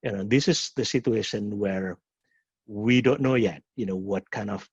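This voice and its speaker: A middle-aged man from Indonesia with an Indonesian accent and a husky voice, a professor answering questions at a webinar in a halting way, often repeating words and restarting. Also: lowish voice, darkish tone. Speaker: professor and program director. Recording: muffled, echoey webinar audio.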